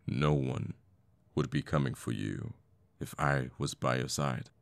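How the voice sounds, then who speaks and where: A very deep, gravelly voice belongs to a conflicted assassin boyfriend played by a man in an intimate audio roleplay. He talks slowly and haltingly, protective and tender toward his lover. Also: no echo, full character voice. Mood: alarmed, hurt.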